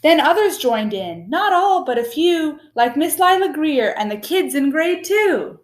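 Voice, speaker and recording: High-pitched woman reading a rhyming picture book. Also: very animated. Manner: measured, rhythmic delivery of rhyming verse. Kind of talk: expressive children's picture book read-aloud.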